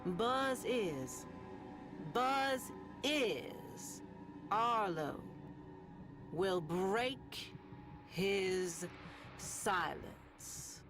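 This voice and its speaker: A woman playing a sardonic, world-weary radio host in a sci-fi game, telling stories in a deliberate, ominous, wry way with long pauses over music. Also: mid-range voice, expressive. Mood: sardonic, foreboding. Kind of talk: slow dramatic monologue to listeners, storytelling.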